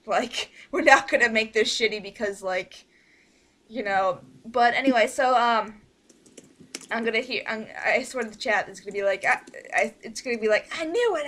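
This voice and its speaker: A woman's voice: on the high side, a bit bright. A young woman chatting casually on a group call as host, rambling, giggly and often interrupting herself. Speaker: host of an online fan project meeting.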